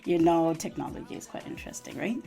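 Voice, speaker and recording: A woman hosting a casual live radio show, excitable and chatty, repeating herself with plenty of fillers. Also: deep voice, fast, creaky, faint music behind. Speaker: radio host. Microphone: live stream studio mic.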